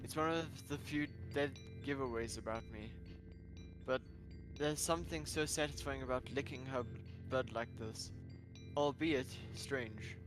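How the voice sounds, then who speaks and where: A man's voice: medium pitch, measured pace, over music, lightly acted. A man reading a visual novel in character as an earnest, guilt-ridden young half-carnivore animal, with a hesitant manner. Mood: brooding. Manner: hesitant, stammering.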